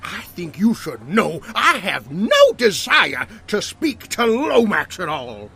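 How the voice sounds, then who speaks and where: An actor playing a gruff, indignant farmer in an audio drama, blustery and rough-voiced. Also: male voice, high-pitched, huge pitch swings, slow, bright, forceful tone, heavily acted.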